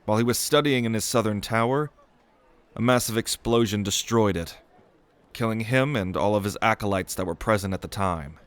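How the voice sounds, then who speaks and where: A man's voice: fairly low pitch, normal speed, smooth, close to an everyday voice. A man playing an eager, proud young noble mage prince in an audio roleplay, speaking in a theatrical, earnest way. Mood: reverent, eager. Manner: theatrical, earnest, grandly expository. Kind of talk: acted audio roleplay spoken to the listener.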